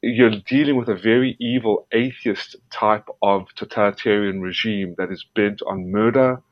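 A man's voice: lowish voice, fairly even intonation, moderate pace, no room sound. A man from South Africa, a guest investigative journalist, answering interview questions earnestly and emphatically, often stumbling and repeating words. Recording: dull, dry lo-fi sound.

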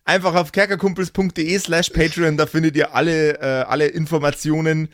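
A man's voice: a little high, little pitch movement, brisk, no echo, studio quality. A man hosting a podcast in a jokey, self-deprecating, rambling, casual way. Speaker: podcast host and game master. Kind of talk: casual, improvised outro chat.

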